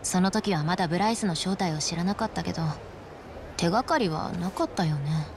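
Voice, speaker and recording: A woman's voice: fairly low pitch, expressive, fairly quick, noisy background. A woman playing a level-headed young companion in a game, composed and cool. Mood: thoughtful. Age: young adult. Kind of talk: Japanese game voice acting. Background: music.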